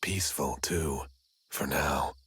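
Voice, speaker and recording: An actor playing a paranoid, self-important neighborhood watch man in a video game, raspy, terse and suspicious. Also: takes it slowly, a bit bright, dry recording, full character voice. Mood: suspicious, guarded. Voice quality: very deep gravelly rasp.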